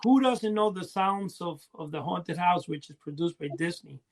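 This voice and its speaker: A man's voice: high-pitched, lively intonation, normal speed. A middle-aged man co-hosting a podcast, telling rambling, nostalgic stories in casual chat. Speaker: podcast co-host.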